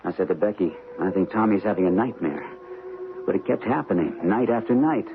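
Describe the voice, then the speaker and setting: A man playing a steady, protective young husband in a 1970s radio drama, plain-spoken and matter-of-fact. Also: sits low, brisk. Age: young adult. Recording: tinny, noisy old radio broadcast.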